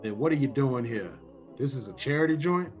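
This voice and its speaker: A man's voice: mid-range voice, expressive, fairly quick, close to an everyday voice. A man acts out a slangy, streetwise tough guy from an old radio drama. The recording is a bit dull, with music playing underneath. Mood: skeptical. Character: tough, suspicious streetwise man with a soft streak.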